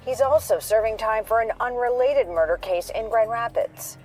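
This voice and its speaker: A woman narrating a news report in a measured, factual manner. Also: a little high, a little mellow, soft background music.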